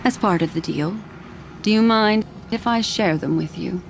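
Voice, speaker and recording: A woman playing a composed, enigmatic memory keeper in a video game, speaking formally and in a measured way. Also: medium pitch, gravelly, slight background noise, natural voice. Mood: composed, polite. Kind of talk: video game character voice acting.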